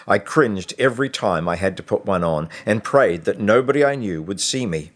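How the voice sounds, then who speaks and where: A man reading a short story as scripted audiobook narration, in a measured, expressive way with deliberate pauses. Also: low-pitched.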